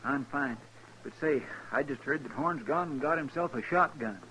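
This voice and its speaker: Earnest, folksy deputy. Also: male voice, on the high side, moderate pace, bright, forceful tone, a little hiss or hum, low-quality recording. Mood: worried, pleading. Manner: hesitant, deferential, folksy. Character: earnest, anxious, loyal deputy to a frontier marshal. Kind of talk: 1950s radio western acting.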